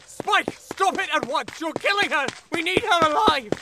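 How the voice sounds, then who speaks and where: A man's voice: extremely high pitch, lively intonation, normal speed, heavy background noise. A man playing a flustered, bossy young warlock minion with a theatrical, fussy way of talking, in a comic fantasy audio drama. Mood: alarmed, scolding.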